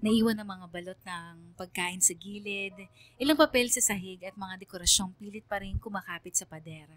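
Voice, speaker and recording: A woman playing a quiet, grateful young student, narrating her own story in an earnest, reflective, sentimental radio drama style. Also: mid-range voice, close to an everyday voice. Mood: reflective, tender. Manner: earnest, reflective, deliberate delivery.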